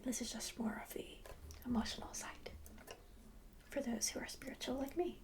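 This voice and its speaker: Kindly kit spellcaster played by a woman, high-pitched and whispered, gentle, rambling, welcoming, for fantasy ASMR roleplay. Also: steady tone, normal speed. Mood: chatty, proud. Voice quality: soft, breathy whisper. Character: kindly, chatty cat-like kit spellcaster, musical healer.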